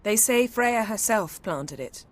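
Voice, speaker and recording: A woman's voice: mid-range voice, animated, measured pace, natural voice. A woman playing a self-assured, skeptical and sardonic woman in a fantasy video game, with a wry, matter-of-fact manner. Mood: storytelling.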